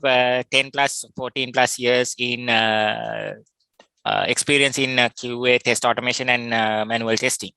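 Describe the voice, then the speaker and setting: A man with a Sri Lankan accent giving an informal technical talk in an online workshop, explaining things in a halting way. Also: mid-range voice, sharp tone, smooth, dry recording, rough recording. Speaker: workshop speaker, test automation specialist.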